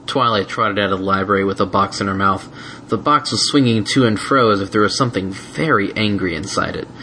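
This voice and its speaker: A man's voice: fairly low pitch, a little fast, pushed and bright, slight background noise. A man reading a fan fiction story aloud as scripted narration.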